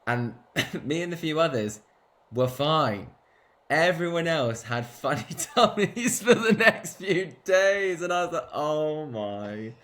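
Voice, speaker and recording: A man's voice: a little high, lively intonation, takes it slowly, fairly bright tone. A man chatting casually as co-host, telling stories with frequent laughs. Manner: chatty storyteller, laughs often. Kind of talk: casual chat.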